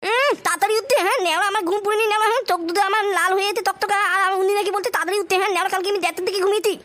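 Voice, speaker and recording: A foul-mouthed little cartoon brat, extremely shrill and artificially pitched up. He rattles along very fast in a whiny, crude way, punctuating lines with short questions, as in a homemade comedy dub. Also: bright, forceful tone. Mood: grumbling. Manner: whiny grumbling, crude, repeated question tags. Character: grumpy, foul-mouthed bratty boy. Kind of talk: amateur comic cartoon dub.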